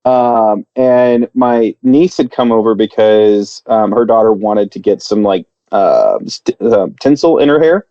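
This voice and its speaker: A man spins a long, meandering story on a podcast, circling back with fillers and half-finished starts. His voice is mellow and dark. The sound is dry and a little muffled. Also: on the low side, a little slow, hardly any pauses, no room sound. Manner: rambling, many fillers and restarts. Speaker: podcast co-host. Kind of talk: casual storytelling chat.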